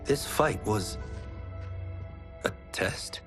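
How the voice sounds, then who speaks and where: An actor playing a humble, earnest young village fighter in a video game, hesitant and questioning. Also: male voice, lowish voice, lively intonation, extremely slow, a bit bright, soft background music, heavily acted. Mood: earnest, unsure. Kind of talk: scripted video game cutscene acting.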